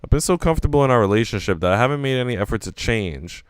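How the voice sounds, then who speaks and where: A man's voice: mid-range voice, expressive, rapid-fire, no room sound, studio quality. A man reading visual novel narration aloud in a live, casual way, now and then restarting a line.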